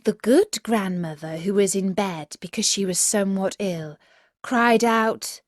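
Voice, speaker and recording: Unhurried woman reading a fairy tale. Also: medium pitch, no room sound, clean studio sound. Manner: measured, expressive storytelling. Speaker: storyteller, podcast narrator. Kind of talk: scripted fairy tale narration for children.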